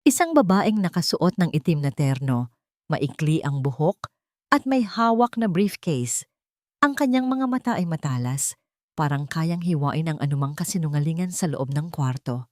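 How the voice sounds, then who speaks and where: Female narrator for dramatic first-person fiction, lower register, very dry studio sound. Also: animated, normal speed, darkish tone, no echo. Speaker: story narrator.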